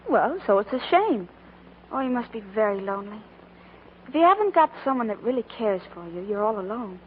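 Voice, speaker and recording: Young, loyal working girl, plainspoken American, higher voice, 1940s radio drama on a muffled old broadcast. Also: sing-song, brisk, a little hiss or hum. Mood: tender. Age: young adult.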